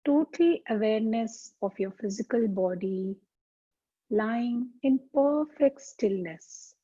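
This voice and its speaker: A woman teaching yoga as a slow guided meditation, speaking calmly and deliberately with soothing pauses. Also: fairly high pitch, slightly rough. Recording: dull, muffled lo-fi sound.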